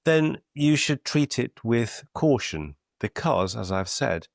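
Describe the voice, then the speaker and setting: A middle-aged man, giving a measured, deliberate scripted lesson that stresses key terms. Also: medium pitch, sing-song, creaky, dry recording, clean studio sound. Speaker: clinical educator. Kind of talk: scripted educational explainer.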